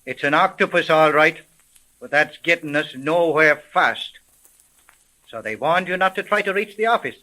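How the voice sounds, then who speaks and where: A hard-boiled police sergeant in an old radio serial. His voice is high and bright, and he gives orders in brusque slang with easy authority. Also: expressive, moderate pace, rough recording. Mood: businesslike. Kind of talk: 1930s radio drama acting.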